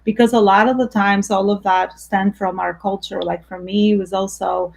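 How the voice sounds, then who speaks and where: Gravelly-voiced woman hosting a video interview. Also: mid-range voice, flat delivery, normal speed. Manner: effusive, rambling. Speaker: host. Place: home room. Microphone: video call.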